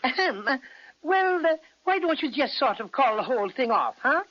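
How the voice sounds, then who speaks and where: A woman's voice: sits high, big pitch swings, moderate pace. A woman acting a brave, earnest and fretful little male cinnamon bear in an old-time children's radio serial. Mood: coaxing. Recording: muffled old radio recording.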